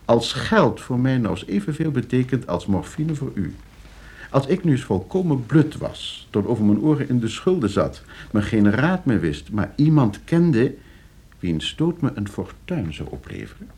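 An actor playing a coolly scheming, suave and condescending nephew in a Dutch radio play. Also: male voice, medium pitch, sing-song, moderate pace, darkish tone, breathy edge. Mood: cool, persuasive. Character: scheming, debt-ridden nephew coolly arranging his uncle's murder.

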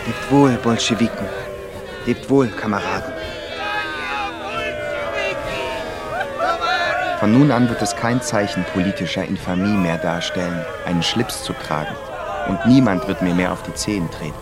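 An actor in a radio drama playing a wry, reflective émigré composer narrating a travel diary. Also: male voice, low-pitched, normal speed, very noisy, lightly acted. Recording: prominent music behind the voice.

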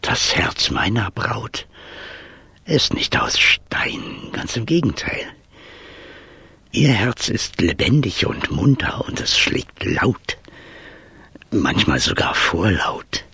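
An actor playing a small, tender-hearted, dreamy mayor in a fairy-tale radio drama, speaking in a declamatory way. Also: male voice, on the low side, very animated, takes it slowly, long pauses, pushed and bright, husky, no echo, whispered, full character voice. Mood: fond, chiding.

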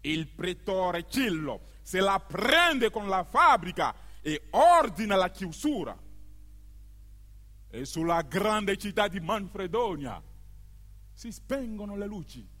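Very high-pitched man declaiming in a hall. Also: big pitch swings, bright, forceful tone. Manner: emphatic, deliberate, repetitive short phrases. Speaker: stage narrator. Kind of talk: theatrical storytelling, declaimed scripted monologue. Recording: long hall reverb, live music behind. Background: live musical accompaniment.

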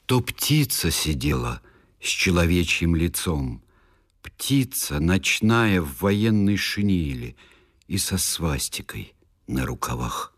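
A man with an extremely deep, husky voice recites poetry slowly and heavily. Each line is given space and weight. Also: sing-song, fairly bright tone. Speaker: reader of original war poetry.

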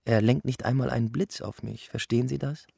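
A husky, creaky cynic as a camp doctor in a radio drama. He stays calm and almost respectable while he mocks, each phrase measured and composed. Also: medium pitch, no echo, clean studio sound. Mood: cool sarcasm. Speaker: actor and reader in a radio play. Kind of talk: scripted dramatic reading.

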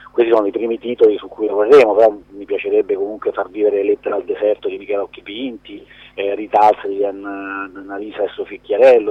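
A man answering as an interview guest in a halting, conversational way, repeating words and letting phrases trail off. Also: lowish voice, no room sound, phone-quality sound. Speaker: film producer, interview guest.

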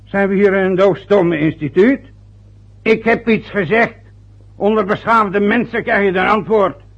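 A proud, aggrieved older father who grumbles and lectures in a husky, gravelly voice, pitched a little high. The old radio-play recording sounds dull and lo-fi. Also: unhurried, exaggerated voice. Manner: aggrieved, lecturing, rambling with hesitations. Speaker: father of a newly married son. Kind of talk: vintage radio play acting.

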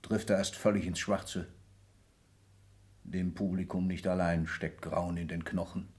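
A husky, low-voiced ageing industrial patriarch. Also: male voice, fairly even intonation, unhurried, darkish tone. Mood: grave. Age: older. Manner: declamatory, emphatic theatrical delivery. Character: ageing self-made industrialist patriarch, disillusioned and commanding. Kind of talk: German radio play acting.

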